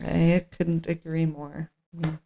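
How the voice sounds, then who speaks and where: A woman's voice: low voice, flat delivery, unhurried, creaky, warm tone. A woman co-hosting a podcast in an informal chat, partly reading from notes and thinking aloud. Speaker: podcast co-host.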